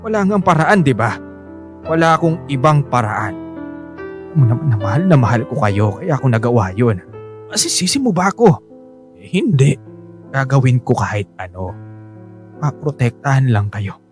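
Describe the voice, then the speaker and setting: Casting: actor for defensive, self-sacrificing Filipino working husband, radio drama, mid-pitched, clear music bed. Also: male voice, very animated, moderate pace, pauses often, lightly acted. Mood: pleading, distressed. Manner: defensive, pleading. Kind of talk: Tagalog radio drama acting. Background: musical underscore.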